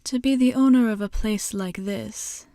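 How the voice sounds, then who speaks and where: Slow, soft-spoken woman as a courteous vampire bartender. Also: medium pitch, no echo, clean studio sound, lightly acted. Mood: fond, welcoming. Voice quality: soft, intimate, breaking into whispers. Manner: formal, old-fashioned, gently polite phrasing. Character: courteous, guarded female vampire bartender fond of humans. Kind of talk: ASMR roleplay.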